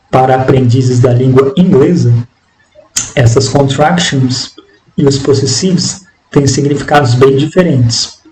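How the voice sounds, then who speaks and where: A Brazilian man gives a language lesson in a mellow, soft-edged voice. He reads the material out at a slow, deliberate pace and explains it step by step. The room around him rings with echo. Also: mid-range voice. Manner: deliberate, didactic, repeating phrases in translation. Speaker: English teacher. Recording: echoey room with faint background noise.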